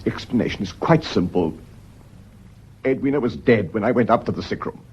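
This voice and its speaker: Defensive widower in a radio play: very husky, animated swings of pitch, stammers under pressure. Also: male voice, brisk. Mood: desperate pleading. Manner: stammers when agitated. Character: defensive widower under suspicion, pleading then accusing. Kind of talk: radio drama acting. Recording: dull, muffled lo-fi audio.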